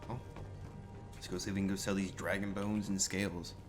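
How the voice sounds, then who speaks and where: A man's voice: deep voice, level tone, fairly quick. A man role-playing his character in a video game, improvising casual, polite commentary as he plays. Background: game music.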